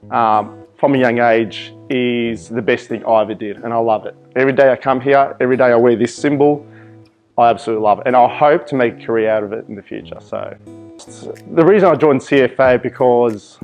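A man's voice: medium pitch, a little mellow. A young man from Iraq whose first language is Arabic, a volunteer firefighter answering interview questions earnestly, with many ums and restarts, in a fire station office.

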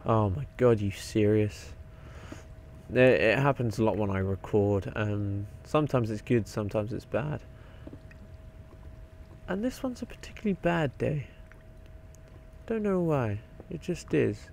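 A young man with a croaky voice, rambling and swearing casually while narrating his gameplay. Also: fairly low pitch, lively intonation, a little slow, long pauses, a little mellow, slight background noise. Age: young adult. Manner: rambling, swears often, mutters to himself. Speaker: game video maker.

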